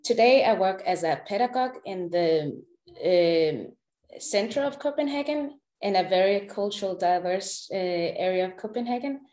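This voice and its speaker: A woman in her thirties from Uganda introducing herself as a guest, direct and warm. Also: mid-range voice, expressive, moderate pace, echoey room. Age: 30s. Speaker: guest, pedagogue. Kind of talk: self-introduction in a talk.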